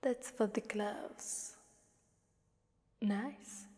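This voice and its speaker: A woman playing an imperious, teasing and smug demon mistress in an ASMR roleplay, with a commanding, drawn-out delivery. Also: medium pitch, mellow. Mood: pleased, appraising. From Italy. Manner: commanding, self-satisfied, drawn-out. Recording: long hall-like reverb.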